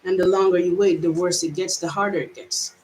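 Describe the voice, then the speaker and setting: A woman's voice: on the low side, fairly even intonation, fairly quick, darkish tone, slight background noise, rough recording. A woman from Jamaica with a mixed Jamaican and American accent, giving a reflective personal vlog monologue in a hesitant, searching way with many restarts. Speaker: vlogger.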